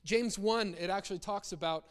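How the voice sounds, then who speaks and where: A man delivers a sermon in a notably high voice, with long reverb trailing his words. He talks conversationally yet with emphasis, restating phrases to drive each one in. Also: normal speed, clean tone. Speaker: preacher. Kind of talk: sermon with acted-out example quotes.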